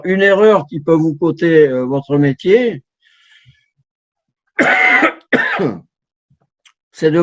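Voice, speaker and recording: Slow, halting middle-aged man on a video call. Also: on the high side. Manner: halting, with frequent restarts and repeated words. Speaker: professional translator giving a talk. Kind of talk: informal conference talk. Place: home setup on a video call. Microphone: computer mic on a video call. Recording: dull lo-fi video call audio.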